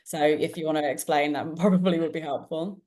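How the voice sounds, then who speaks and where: A woman hosting an online panel discussion, talking in an energetic, chatty and jokey way. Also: low voice, brisk. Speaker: panel host.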